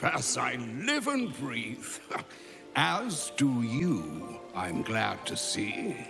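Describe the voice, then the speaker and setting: A man's voice: on the high side, vocal fry, heavily acted. A man playing a wise, grandfatherly old wizard in a fantasy game, with theatrical, pompous delivery and ornate phrasing. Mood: jovial, teasing. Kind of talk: fantasy video game acting.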